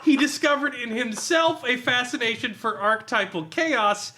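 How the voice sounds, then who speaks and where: A man reviewing a role-playing book casually among friends in an animated, amused, sweary and sardonic way. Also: very high-pitched, moderate pace. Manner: animated, amused, often laughing while talking; profane, sardonic.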